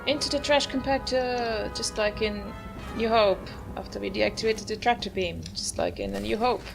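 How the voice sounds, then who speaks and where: A woman's voice: medium pitch, fairly even intonation, moderate pace, vocal fry, music in the background. A woman giving casual, rambling gameplay commentary with a wry manner. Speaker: gamer narrating her play in a video series.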